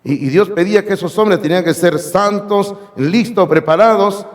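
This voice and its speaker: A hoarse man preaching a sermon as pastor, emphatic and speaking directly to his congregation in a church sanctuary. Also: high-pitched, moderate pace. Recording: long reverb of a church hall.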